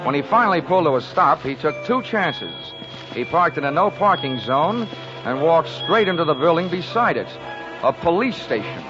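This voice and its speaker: An old, muffled 1940s radio recording with music in it carries a wry, hard-boiled insurance investigator. He narrates and trades wisecracks in a clipped way. His pitch moves around in a lively manner. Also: moderate pace. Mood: brisk narrating. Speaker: lead actor and narrator.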